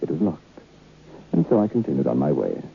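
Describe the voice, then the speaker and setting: An actor playing a haunted, curious former student who narrates a vintage radio horror tale in a deliberate, literary, brooding way. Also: male voice, extremely low pitch, very animated, gravelly, exaggerated voice. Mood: intrigued, hushed. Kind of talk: dramatic first-person horror narration. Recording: muffled, noisy old radio recording.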